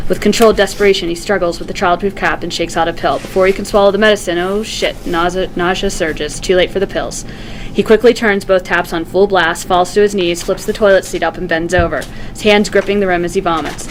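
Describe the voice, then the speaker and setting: A woman reading stage directions at a script table read in an expressive storytelling manner. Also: fairly low pitch, a little fast, a little hiss or hum, rough recording.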